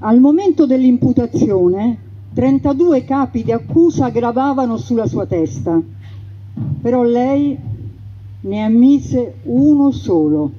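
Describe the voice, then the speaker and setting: Woman narrating with dramatic emphasis. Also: a little high. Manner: dramatic, emphatic, swings between loud and hushed. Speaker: stage narrator. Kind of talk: theatrical storytelling narration. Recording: dull lo-fi radio broadcast.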